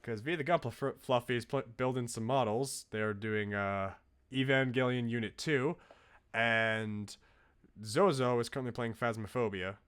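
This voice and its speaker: A man's voice: medium pitch, moderate pace, fairly bright tone, clean tone. Casual male game streamer. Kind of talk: casual livestream chat.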